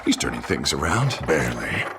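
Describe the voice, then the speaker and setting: An actor playing a troubled American city mayor with a split, volatile personality in a video game, his voice husky and strained. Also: extremely low pitch, sing-song, a little fast, noisy background, heavily acted. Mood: bitter, menacing.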